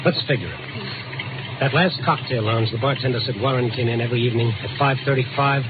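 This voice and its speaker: Fast-talking hard-boiled American radio reporter, acted by a man. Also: medium pitch, very noisy, close to an everyday voice. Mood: urgent. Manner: clipped, insistent, hard-boiled. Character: urgent, tough-talking male newspaper reporter on the night beat. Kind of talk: 1950s radio drama acting and narration. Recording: tinny old radio recording, loud music behind.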